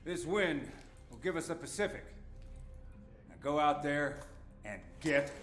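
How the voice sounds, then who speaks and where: An actor playing a resolute American navy squadron commander, giving an authoritative military briefing in a video game. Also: male voice, a little high, animated, a little slow, a bit bright. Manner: crisp, authoritative. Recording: echoey sound.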